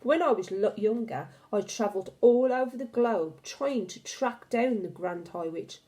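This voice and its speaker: Woman as elderly storybook grandmother, mellow, assured, explanatory, authoritative. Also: medium pitch, unhurried, close to an everyday voice. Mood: knowing. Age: older. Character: old grandmother, retired witch expert, knowing and assured. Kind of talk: storybook character reading.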